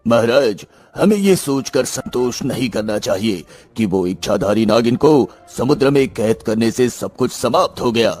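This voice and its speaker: Wise court sage voiced by a man: male, solemn, deliberate counsel, middle register, soft music behind. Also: medium pitch, lively intonation, close to an everyday voice. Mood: solemn, ominous. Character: grave, wise royal sage and healer, male. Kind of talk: Hindi animated bedtime story acting.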